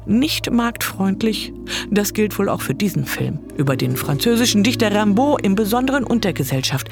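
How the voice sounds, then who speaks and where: Female arts reporter, animated voice-over, faint music beneath. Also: mid-range voice, moderate pace, vocal fry. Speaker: culture reporter. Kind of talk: scripted voice-over narration.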